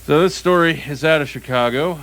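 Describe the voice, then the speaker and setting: A man co-hosting a comedy news podcast, reacting to stories with quick quips and frequent laughter, often stumbling over his own sentences. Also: on the high side, fairly bright tone. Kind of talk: casual comedic banter.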